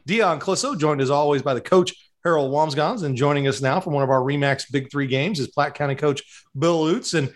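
A man hosting a high school football radio show, opening a segment with an upbeat, brisk introduction. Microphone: video call.

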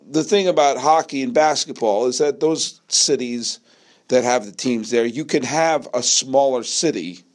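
An American man from New England giving an opinionated solo sports monologue, speaking emphatically and repeating phrases for effect. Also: fairly low pitch. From New England, United States. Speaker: sports podcast host.

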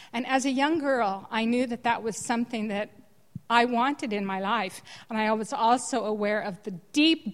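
An older woman from California with an American accent, sharing her personal testimony to a church congregation, often clearing her throat. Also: a little high. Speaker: guest speaker sharing testimony. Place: church hall. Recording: long echo of a church hall.

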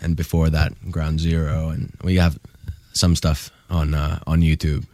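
A man's voice: extremely low pitch, little pitch movement, unhurried, darkish tone, no echo, studio quality. A young man from Finland, a guest musician giving short, easygoing answers in a casual interview. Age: young adult.

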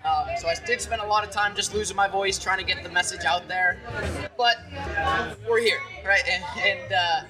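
A man's voice: sits high, fairly even intonation, over a noisy crowd. Western new york guy talking about his brewery, lots of ums, music going behind him at a party. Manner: measured, with frequent ums and restarts. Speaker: brewery founder. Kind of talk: casual interview. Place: launch party at a pizza restaurant.

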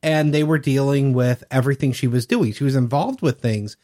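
Male podcast co-host, medium pitch, informal conversational tone of a tabletop game master. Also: a little fast, mellow. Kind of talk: casual chat about running games.

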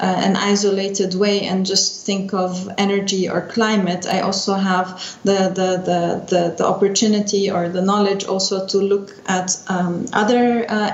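Middle-aged female interview guest from Lebanon, hesitant delivery, dull recording with room echo. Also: mid-range voice. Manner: hesitant, searching for words with frequent pauses. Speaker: interview guest, energy division chief. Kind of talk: unscripted interview answers. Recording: dull lo-fi roomy sound, faint noise.

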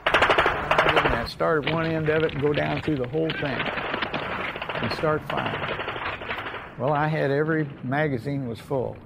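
An older American man, a war veteran, telling his memories informally in a folksy, halting way with repeated phrases. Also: on the high side, fairly even intonation, vocal fry, very noisy. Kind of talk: informal oral-history storytelling.

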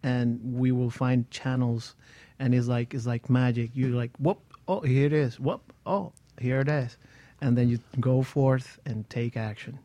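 A man's voice: mid-range voice, steady tone, measured pace, dark tone. A man co-hosting a motivational talk show, speaking hesitantly with frequent restarts and unusual phrasing. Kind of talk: casual talk show discussion.

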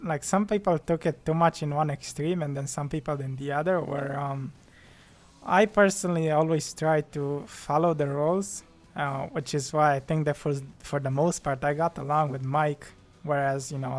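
Man with a fairly high voice, podcast guest. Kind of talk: casual podcast chat.

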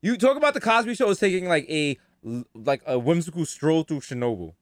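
A man's voice: fairly quick, no echo, studio quality. A young man co-hosting a casual comedy podcast, riffing jokes and slipping into mock voices. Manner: riffing, mock voices, lively swings in pitch. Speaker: podcast co-host.

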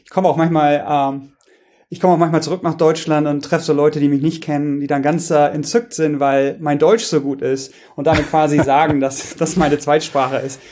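A man's voice: on the high side, little pitch movement, moderate pace, a little mellow. A man, a researcher, talking casually as a podcast guest, hesitant, with many fillers and restarts. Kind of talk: casual interview talk.

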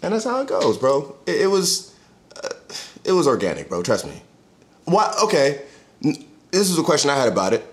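Storytelling podcast guy, gets loud and high when worked up. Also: moderate pace. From born in Florida, raised in Charlotte. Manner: animated, storytelling, pitch jumps up when heated. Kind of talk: casual chat.

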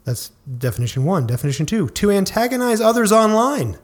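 A man co-hosting a casual podcast, with a gravelly voice, playful and laughing as he reads things aloud. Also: sits high, very animated, fairly quick. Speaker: podcast co-host. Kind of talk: casual chat.

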